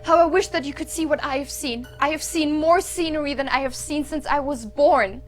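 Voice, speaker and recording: Actress as innocent Victorian girl, very high pitch, earnest, plaintive, theater stage, music behind. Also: moderate pace, natural voice. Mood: wistful, innocent. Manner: earnest, childlike, theatrical delivery. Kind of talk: stage play acting. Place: theater stage with live audience.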